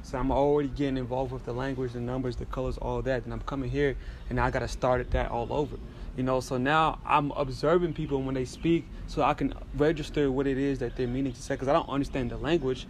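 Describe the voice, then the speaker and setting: A young man whose first language is French talks in a mid-pitched voice. He muses at length, looping back on his points and filling gaps with "you know". A faint hiss sits under the recording. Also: level tone, quick pace. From France. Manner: reflective, rambling, repeats "you know". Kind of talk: casual conversation.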